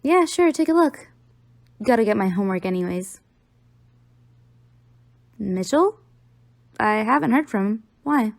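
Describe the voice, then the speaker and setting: A woman's voice: a little high, expressive, a little slow, vocal fry, long pauses, dry recording. Woman acting as a teasing college girlfriend, dry recording. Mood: playful. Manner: playful, teasing. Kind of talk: audio roleplay, addressed to the listener.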